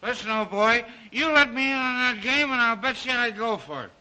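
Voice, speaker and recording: An actor playing a tipsy, boastful American playboy, slangy and boisterous, in old comic-relief style. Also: male voice, very high voice, lively intonation, fairly quick, pushed and bright, exaggerated voice, rough recording. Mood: chummy, boastful. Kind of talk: old film-era comic-relief acting.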